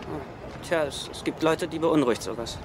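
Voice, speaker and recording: An actor in a German film dub playing a nervous, wisecracking young treasure-hunting sidekick, sarcastic and prone to stammer. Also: male voice, a little high, moderate pace, noisy background, natural voice. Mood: alarmed, flustered. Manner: sarcastic, stammering when agitated.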